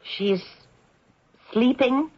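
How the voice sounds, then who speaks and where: An actress playing a spirited, no-nonsense homeowner in an old-time radio drama, speaking in a polite but firm, expressive way. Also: female voice, high voice, drawn-out words, full character voice. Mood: alarmed, demanding. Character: spirited, no-nonsense woman, homeowner haunted by her ancestor. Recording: dull old radio recording.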